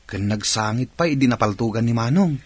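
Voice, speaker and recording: A man's voice: medium pitch, animated, brisk, husky, no echo. A man playing a confident older brother in an Ilocano radio drama. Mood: assured.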